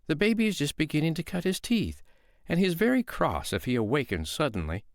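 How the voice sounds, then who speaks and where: A man voicing a calm, shrewd foster mother, talking in a sweetly sly, coaxing way. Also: fairly high pitch, fairly quick, no echo, studio quality, voice pushed up.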